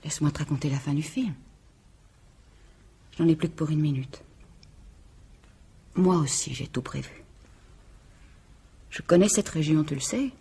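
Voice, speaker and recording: A woman's voice: sits low, a little slow, pauses often, mellow, slightly rough, exaggerated voice. An actress playing a bitter, jealous woman bent on revenge, theatrical and sardonic, in 1950s French radio drama style. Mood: cold, menacing. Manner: theatrical, sardonic, menacing monologue. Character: bitter, jealous, vengeful scorned woman turned cold killer.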